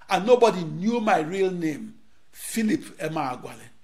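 A middle-aged man from Nigeria, a native Igbo speaker, with a notably high-pitched voice. He delivers a rehearsed lecture in short, declaimed phrases broken by dramatic pauses, recorded close on a lapel mic in a studio.

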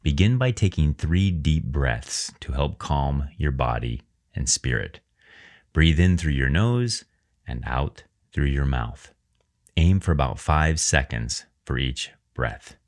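Casting: male meditation guide, extremely low voice, measured and reverent, leaving pauses between phrases. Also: lively intonation.

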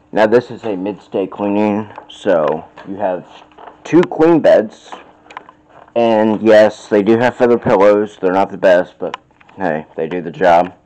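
A young man giving a casual, unhurried tour of a hotel suite, filming on a handheld phone, with fillers and restarts. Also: on the low side, a little mellow, highs cut off, a little hiss or hum. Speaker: vlogger. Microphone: handheld phone camera.

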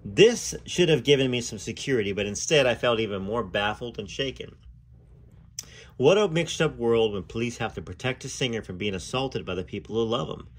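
A man hosting and reading a memoir aloud in an expressive storytelling style, with dramatic phrasing and casual asides. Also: medium pitch, fairly quick, slightly rough.

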